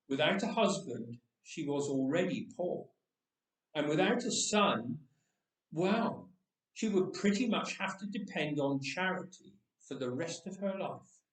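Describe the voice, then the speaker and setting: Man reading as a candid young boy, echoey room. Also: high voice. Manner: chatty, matter-of-fact, confiding. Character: candid thirteen-year-old boy recalling his own death. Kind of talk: first-person storybook reading. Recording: echoey room, distant microphone.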